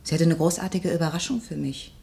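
Forthright young heiress. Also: female voice, low-pitched, fairly even intonation, fast talker, vocal fry, warm tone. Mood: questioning. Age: young adult. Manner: direct, indignant when pressed. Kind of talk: German radio crime drama acting.

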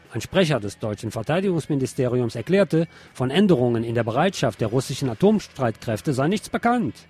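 A man with a husky, slightly rough voice reads news bulletins on the radio. His pitch is average and his delivery is that of a presenter who adds dry, sardonic comment. Music is faintly present with the voice. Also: darkish tone.

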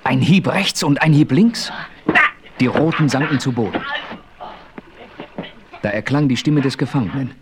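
A man's voice: medium pitch, expressive, measured pace, pauses often, lightly acted. An actor playing a resolute, commanding Western adventurer who narrates his story in a 1970s radio play. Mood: tense. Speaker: narrator and lead character. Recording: faintly noisy old radio play recording.

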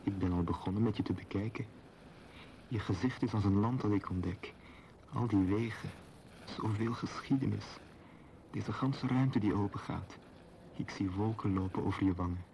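A tender, searching man played by an actor speaks in a low, mellow voice. His delivery is intimate and lyrical, as in a poetic radio drama with faint noise. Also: moderate pace, pauses often, close to an everyday voice, low-quality recording. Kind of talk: poetic Dutch radio play acting.

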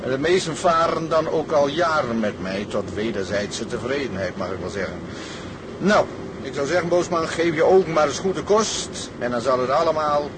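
An actor playing an older, thrifty sea captain in a radio drama, speaking in a measured, paternal way with hums and repeated murmurs. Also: male voice, on the high side, expressive, noisy background, lightly acted. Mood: steady, satisfied.

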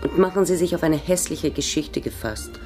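A woman's voice: low-pitched, expressive, normal speed, dark tone, soft background music. An actress playing a cool, teasing, shrewd female spy in a German radio play. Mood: composed, firm.

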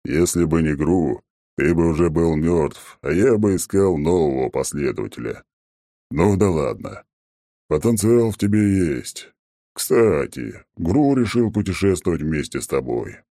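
A man voicing a smug, very deep oak-tree god. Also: expressive, warm tone, no room sound, clean studio sound, heavily acted. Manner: haughty, mocking, slow and drawn-out. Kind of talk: audiobook character voice.

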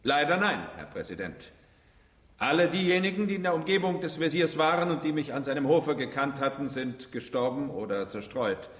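An actor playing a proud military count under accusation, solemn and defensive, speaking formally and emphatically in a radio drama. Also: male voice, on the high side, animated, moderate pace, a bit bright. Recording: echoey hall with long reverb.

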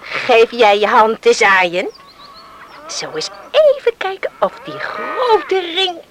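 Friendly village woman: eager, high voice, radio play delivery with faint background noise. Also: wildly swooping pitch, slow, full character voice. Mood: intrigued. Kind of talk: Dutch radio play acting. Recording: faintly noisy old radio play recording.

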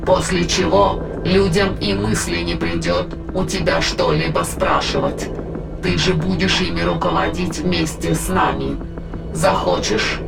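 A creaking, gravel-throated spirit from another world lays out its ambitions in an audio drama. It is formal and measured, grandiose and coldly persuasive. Music plays clearly underneath. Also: medium pitch, poor audio. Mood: coldly tempting. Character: megalomaniac otherworldly spirit plotting to conquer humanity. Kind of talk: audio drama acting, long villainous monologue. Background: dramatic background music.

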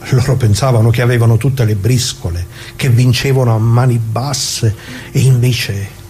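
Casting: a man as a wry, indignant grandpa storyteller, mid-pitched and mellow, colloquial radio theatre, humming noisy recording. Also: steady tone, normal speed, lightly acted. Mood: wry reminiscing. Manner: folksy, rambling, full of asides and rhetorical questions. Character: talkative working-class grandfather reminiscing, indignant, wry, old leftist.

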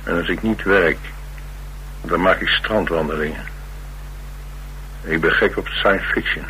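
A cocky dutch drifter in a radio drama, really low forceful voice, flippant, bit of hiss. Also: male voice, unhurried, rough recording. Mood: wry, boastful. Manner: flippant, sardonic, colloquial banter. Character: cocky, restless, wisecracking male loner on the run. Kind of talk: naturalistic radio play dialogue.

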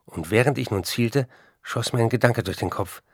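A man playing a tormented, intense writer who confesses and narrates in measured, intimate speech in a radio play. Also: mid-range voice, no echo, studio quality, lightly acted. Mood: haunted recollection.

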